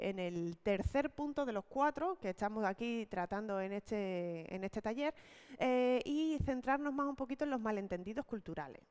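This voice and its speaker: A woman leading an online teacher-training talk, explaining step by step and often checking in with quick tag questions. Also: a little high, a little mellow, smooth. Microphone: video call.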